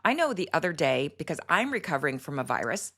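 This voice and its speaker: Middle-aged woman doctor hosting a health show; deep, slightly hoarse voice, loose and chatty delivery. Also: big pitch swings, fast, pushed and bright. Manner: chatty, explanatory, with fillers. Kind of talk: casual health talk to camera.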